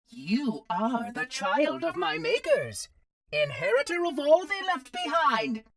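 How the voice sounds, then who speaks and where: A man's voice: extremely high pitch, bright, forceful tone, full character voice. A man voicing a fussy, officious robot caretaker in a video game, with prim, formal, theatrical enunciation. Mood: coldly resolved. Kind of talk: video game cutscene acting.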